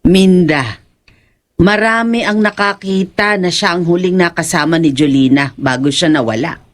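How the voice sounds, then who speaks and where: A woman's voice: lowish voice, moderate pace, natural voice. A woman acting as a suspicious, persistent older aunt in an audio horror drama, questioning insistently. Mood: accusing.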